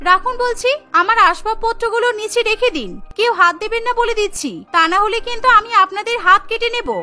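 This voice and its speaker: A defiant young daughter-in-law in a cartoon, played by a woman. She is indignant and sharp-tongued, protesting in a very high, bright voice over faint music. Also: fast. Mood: alarmed, angry.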